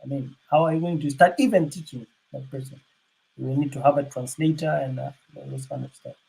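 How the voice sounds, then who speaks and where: An older man from South Sudan giving unscripted panel remarks, halting, with many fillers and restarts. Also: fairly high pitch, gravelly, mellow, husky, low-quality recording.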